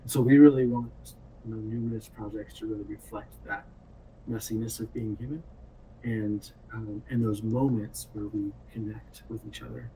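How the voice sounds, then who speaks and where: A man speaking casually and reflectively as a game developer on a panel, in halting phrases. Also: on the low side, fairly even intonation, warm tone. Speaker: game director and programmer. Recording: distant mic with faint noise.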